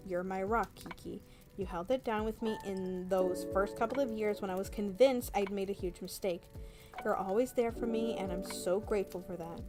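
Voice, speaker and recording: An earnest, tender-hearted young man as a woman reads him pours out his gratitude and love in a romance novel. The delivery is heartfelt, and music plays clearly behind the words. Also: mid-range voice, steady tone, moderate pace, close to an everyday voice. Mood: earnest, grateful. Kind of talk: romance novel read aloud.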